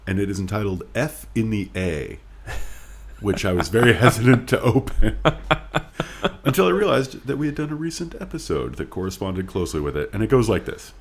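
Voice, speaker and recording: A man co-hosting a casual podcast, bantering and reading listener mail in a wry, joking, halting way. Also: fairly low pitch, lively intonation, slight background noise. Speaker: podcast co-host.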